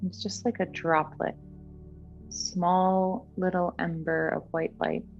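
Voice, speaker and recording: Woman, lowish pitch, soothing guided meditation, unhurried delivery, slightly dull recording. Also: little pitch movement, gravelly. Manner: gentle, soothing, unhurried instruction. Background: background music.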